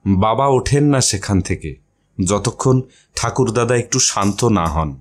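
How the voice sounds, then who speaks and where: A man's voice: lowish voice, animated, measured pace. A man narrating a short story as a scripted audio reading.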